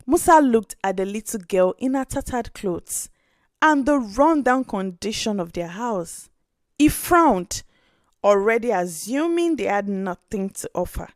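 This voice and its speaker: A woman storyteller reading a scripted folktale with expressive narration. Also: medium pitch, measured pace, dry recording, clean studio sound.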